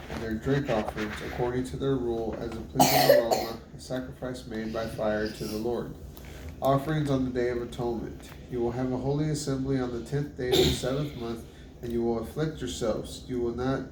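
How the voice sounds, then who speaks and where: A man reads scripture aloud in measured, list-like phrases, with an occasional stumble. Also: medium pitch. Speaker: Bible reader. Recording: roomy, distant mic with loud background noise.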